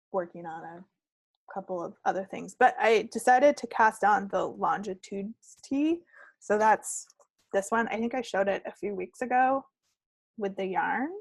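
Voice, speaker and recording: A woman's voice: mid-range voice, gravelly, breathy edge, dry recording. A woman co-hosting a podcast, chatting casually in a rambling, self-deprecating way. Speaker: podcast co-host. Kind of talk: casual chat about knitting projects.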